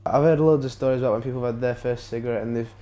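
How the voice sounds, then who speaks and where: A teenage man with a dark, mellow voice. He talks casually and hesitantly, with lots of fillers. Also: medium pitch, fairly even intonation, rapid-fire. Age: teens. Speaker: interviewee. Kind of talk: casual interview.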